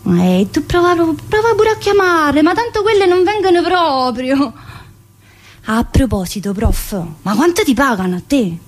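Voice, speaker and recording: A woman's voice: sits high, big pitch swings, unhurried. A woman playing a cheeky, needling female prison inmate in a colloquial theatre monologue, with a southern Italian accent and a teasing, familiar manner. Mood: teasing, restless. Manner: teasing, familiar, full of rhetorical questions. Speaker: stage actress performing a monologue. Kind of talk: theatrical monologue in colloquial dialect-tinged speech.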